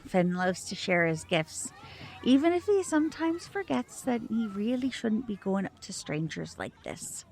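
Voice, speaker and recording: A woman acting a protective magician mother in an audio drama, polite and measured, with a suspicious edge. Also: mid-range voice, big pitch swings, darkish tone, rough recording. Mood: polite, apologetic.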